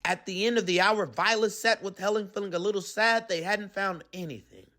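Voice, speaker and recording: A man reading a children's storybook aloud with expressive narration. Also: high-pitched, brisk. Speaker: storybook reader.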